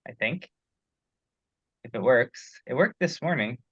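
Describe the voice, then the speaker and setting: A man speaks in a casual technical discussion, leading a group call. His voice has a middling pitch, and the sound is dry but dull, like online meeting audio. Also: expressive, unhurried, husky, dry recording. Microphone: teleconference call. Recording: muffled, lo-fi conference call audio.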